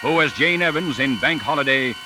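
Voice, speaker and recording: Male 1940s radio announcer over loud music. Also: medium pitch, a little fast.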